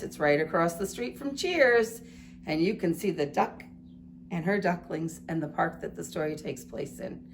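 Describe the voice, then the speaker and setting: A woman preschool teacher reading a story aloud to young children in simple, deliberate phrases. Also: fairly low pitch, gravelly. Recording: faint background noise.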